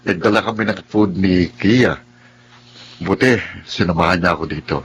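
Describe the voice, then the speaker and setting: Low-voiced charming male friend. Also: normal speed, a little hiss or hum, low-quality recording. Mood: apologetic, charming. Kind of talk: Filipino radio drama acting.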